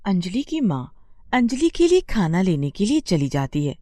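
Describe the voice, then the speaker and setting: A woman's voice: mid-range voice, very animated, moderate pace, dark tone, no echo, clean studio sound. A woman storyteller narrating a scripted bedtime moral story.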